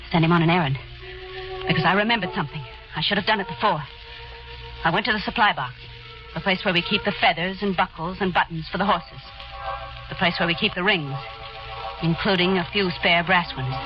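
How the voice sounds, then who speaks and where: Brief: woman playing a jittery young carousel girl, halting speech, dull 1940s radio with loud score. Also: lowish voice, lightly acted. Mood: brooding. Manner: hesitant, halting. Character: young woman carousel attendant, nervous narrator. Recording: lo-fi 1940s radio broadcast with music.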